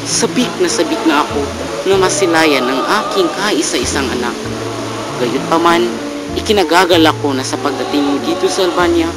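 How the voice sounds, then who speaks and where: A man's voice: on the high side, normal speed, natural voice. A man playing a proud father who reads out a grave letter to his son in a formal, solemn way. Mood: formal affection. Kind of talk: dramatic letter reading. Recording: loud music over amateur drama audio.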